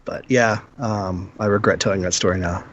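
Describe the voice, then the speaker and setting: A man on a casual gaming podcast, telling stories in an animated, self-deprecating way. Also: deep voice, moderate pace, lo-fi sound, a little hiss or hum. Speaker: podcast guest.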